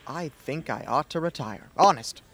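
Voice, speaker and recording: A man's voice: medium pitch, lively intonation, moderate pace, gravelly, background noise. A young man acting in a radio comedy as a world-weary, exasperated American baseball boss who grumbles and wisecracks. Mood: weary, wistful. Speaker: student actor.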